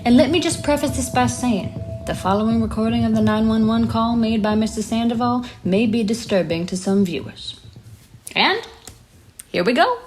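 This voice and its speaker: An actress playing a composed radio host in an audio drama, announcing in a polished, dramatic broadcast style. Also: female voice, fairly high pitch, lively intonation, moderate pace, lightly acted. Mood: composed, intrigued. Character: composed female radio host presenting a crime exclusive. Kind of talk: scripted radio broadcast presenting. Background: music.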